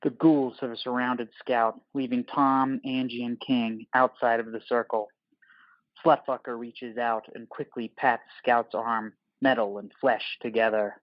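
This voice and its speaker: A man reading scripted stage directions for an audio drama as narrator, in deliberate, dramatic short phrases. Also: mid-range voice, level tone, no echo, lo-fi sound.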